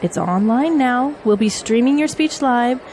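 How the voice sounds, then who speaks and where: A woman's voice: on the high side, expressive, a little slow, darkish tone, a little hiss or hum. A woman playing a loyal, efficient political aide in an audio drama, speaking earnestly and deferentially. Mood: brisk, efficient.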